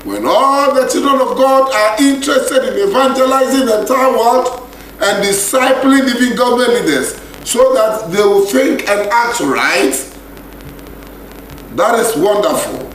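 A man with a Ghanaian accent preaching a radio sermon, repeating scripture lines in a slow, intoning way. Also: very high-pitched, expressive, fairly bright tone. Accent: Ghanaian English. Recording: echoey radio broadcast with faint hiss.

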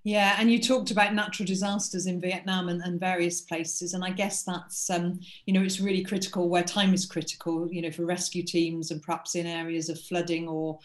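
A woman in her fifties from the UK chairs a conversation over a computer microphone. Her voice sits on the low side, and she asks her questions in an encouraging, conversational way. Her sound bounces around an echoey room. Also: little pitch movement, fairly quick, few pauses. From United Kingdom. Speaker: interview chair. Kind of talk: video call interview. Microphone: computer mic on a video call.

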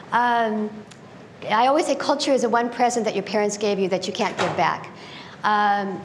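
Middle-aged woman, lecture presentation, slightly high pitch, faint background noise. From United States. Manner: conversational, with frequent ums and restarts. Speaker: guest speaker. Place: conference room with slides. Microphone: lectern mic, later handheld.

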